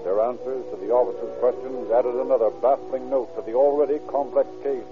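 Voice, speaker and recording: An actor as the formal, serious narrator of a crime case in a scripted classic radio drama. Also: male voice, mid-range voice, steady tone, a little fast, close to an everyday voice. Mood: grave. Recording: tinny old radio recording, loud music behind.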